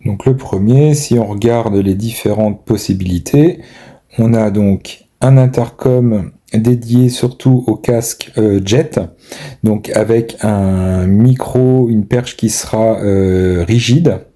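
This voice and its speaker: A man talks through a product in a casual vlog style. His voice is fairly low and mellow, and he goes very slowly, pausing hesitantly as he thinks aloud. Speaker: amateur video maker reviewing a purchase. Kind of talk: casual product walkthrough and unboxing commentary.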